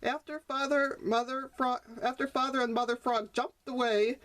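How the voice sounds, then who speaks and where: Higher-voiced woman reading a storybook. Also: fairly even intonation, normal speed, a bit bright. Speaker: author reading own picture book. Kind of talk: read-aloud storytelling. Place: indoors at a table over the open book.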